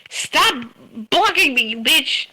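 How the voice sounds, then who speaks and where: Young woman host, loud and bright, swears freely and trash-talks while she plays. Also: sits high, expressive, takes it slowly, low-quality recording. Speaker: podcast host. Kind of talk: casual gaming chat.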